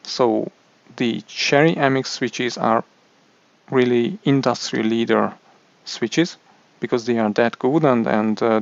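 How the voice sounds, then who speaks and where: A man with a Hungarian accent, a native Hungarian speaker, explaining a product in a halting way with many restarts. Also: medium pitch, dry recording, dull audio. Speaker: tech reviewer. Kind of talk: casual explainer monologue.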